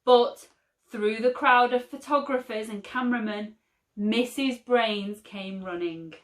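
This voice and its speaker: A woman teacher reading a picture book aloud for children, slow and expressive. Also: a little high, slight background noise. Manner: slow, expressive, lively storytelling. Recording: roomy echo.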